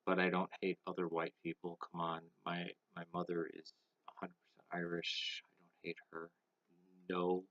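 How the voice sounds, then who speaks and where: A deep-voiced man smokes while he talks to camera in a halting, stop-start way. His delivery stays flat and level. The recording is dry and muffled, with a lo-fi home-video sound. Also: dry recording. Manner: halting, thinking aloud with many pauses; smoking while talking. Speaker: vlogger. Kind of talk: casual opinion monologue to camera.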